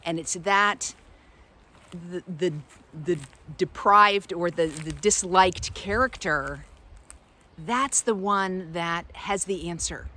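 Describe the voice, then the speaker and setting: A woman giving an unscripted, reflective inspirational talk as a spiritual guide, often pausing mid-phrase to find her words. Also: fairly low pitch, sharp tone.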